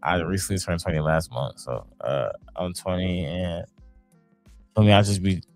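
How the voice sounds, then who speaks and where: A man of twenty from New York, a podcast guest talking in a laid-back, slangy way that trails off quietly. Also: deep voice, moderate pace, no room sound, faint music behind. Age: 20s. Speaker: podcast guest, content creator. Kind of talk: casual chat.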